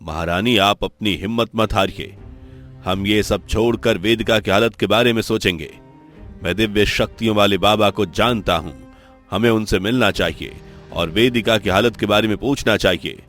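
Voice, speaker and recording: A low-pitched, commanding king acted by a man in a cartoon fable. He talks as a concerned husband, formal and earnest, with dramatic delivery over soft music. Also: moderate pace, natural voice. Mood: reassuring, concerned. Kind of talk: Hindi cartoon story dialogue.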